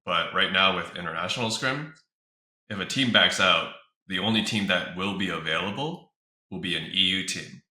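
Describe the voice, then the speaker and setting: A man's voice: low voice, moderate pace, pushed and bright. A man giving casual interview answers as an esports organizer, recorded in a room with some echo. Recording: roomy echo.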